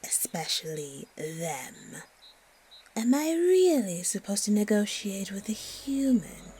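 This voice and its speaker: A woman playing a haughty, suspicious, disdainful spider monster in a fantasy audio roleplay, with a breathy, often whispery voice. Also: mid-range voice, big pitch swings, dark tone, full character voice. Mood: grudging, disdainful. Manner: slow, disdainful, haggling.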